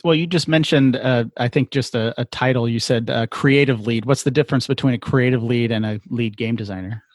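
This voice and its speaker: A man with a middle-pitched voice hosts a casual interview. He puts his questions plainly and a little tentatively, in short phrases. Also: fairly quick. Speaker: podcast interviewer, host.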